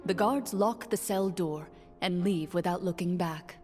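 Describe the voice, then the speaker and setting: An actress plays a composed young woman from a video game who runs a tabletop session. She speaks formally and with measured, deadpan restraint. Her voice rings in a long hall reverb, with soft music underneath. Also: fairly low pitch, natural voice. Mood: solemn narrating. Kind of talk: video game voice acting, scripted narration.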